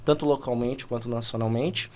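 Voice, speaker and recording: A man's voice: mid-range voice, steady tone, normal speed, a little mellow. A Brazilian man in his thirties hosting a podcast interview, friendly and promotional, often adding "né?" to his remarks. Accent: Brazilian Portuguese. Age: 30s. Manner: friendly, promotional, with frequent "né?" tags. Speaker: podcast host.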